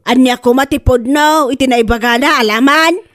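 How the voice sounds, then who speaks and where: High voice, Ilocano Filipino accent, sharp-tongued scolding mother, woman acting, exclamatory, radio comedy drama. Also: normal speed. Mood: indignant. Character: indignant, sharp-tongued mother defending herself, later a wife.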